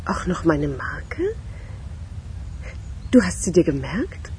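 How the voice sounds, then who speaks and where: Radio thriller role: a cool, inquisitive, ironic woman, dark relaxed tone. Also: low-pitched, takes it slowly, slight background noise, exaggerated voice. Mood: wry, guarded. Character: self-possessed, teasing woman, dryly ironic and inquisitive. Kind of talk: German radio crime drama acting.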